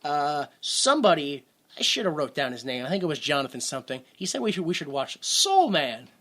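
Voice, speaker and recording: A man's voice: fairly high pitch, very animated, a little fast, fairly bright tone. A young man hosting a podcast, rambling casually and laughing at his own jokes. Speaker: podcast host.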